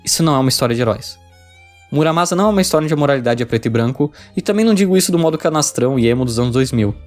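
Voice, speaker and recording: A man's voice: medium pitch, lively intonation, rapid-fire. A man with a Brazilian accent reading a casual, slangy scripted game review. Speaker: video essay narrator, game reviewer. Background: music.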